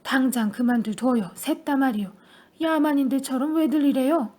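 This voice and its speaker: A woman's voice: high voice, fairly even intonation, moderate pace, mellow, close to an everyday voice. A woman voicing a stern old grandfather who scolds his family in an audiobook story. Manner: stern, scolding, then agitated.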